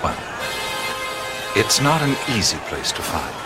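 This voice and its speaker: Wry American adventurer, low voice, very swingy intonation, understated, hesitant, radio play, music underneath. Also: male voice, sing-song, measured pace. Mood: calm, reflective. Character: laid-back American male adventurer, quietly wry. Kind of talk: audio drama acting.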